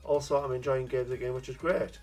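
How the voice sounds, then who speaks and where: A man's voice: medium pitch, level tone, a little fast, darkish tone, soft background music. A man hosting a casual gaming review chat, cheeky and jokey, often laughing at his own innuendo. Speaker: gaming channel host.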